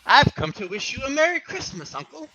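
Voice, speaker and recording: A man playing a cheerful, warm-hearted young nephew in a radio-play reading, hearty and earnest. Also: very high-pitched, very animated, fairly quick, dry recording, exaggerated voice, rough recording.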